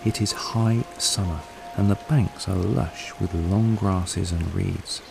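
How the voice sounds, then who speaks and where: A man narrating a scripted guided meditation in a calm, unhurried, soothing way. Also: low-pitched, creaky. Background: soft background music.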